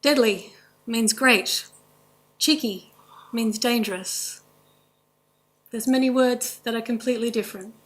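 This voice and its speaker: A woman with an Australian accent giving a formal, measured presentation in a courtroom, speaking in short listed phrases. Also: fairly high pitch, a bit bright. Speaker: language presenter at a court inquest.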